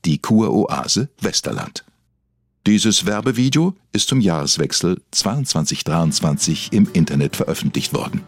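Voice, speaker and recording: A man playing a solemn documentary narrator, delivering scripted lines in a weighty, dramatic way with a husky voice. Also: sits low, sing-song, normal speed, no room sound, studio quality, heavily acted. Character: male documentary narrator, solemn, mock-investigative.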